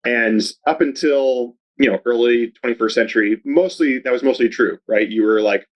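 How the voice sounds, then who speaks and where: A man's voice: medium pitch, moderate pace, highs cut off. A man speaking as a podcast guest in casual chat, rambling and upbeat, filling his talk with "like". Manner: rambling, heavy use of "like", upbeat. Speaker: podcast guest, video advertising researcher.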